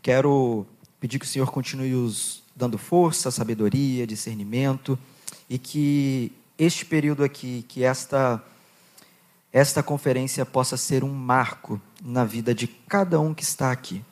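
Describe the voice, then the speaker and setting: A young man with a Goiás accent preaching to a youth conference, joking with the crowd, reading scripture and praying in a quieter, lower voice. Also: steady tone, unhurried. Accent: Goiás Brazilian Portuguese. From Goiás, Brazil. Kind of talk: sermon with Bible reading and prayer.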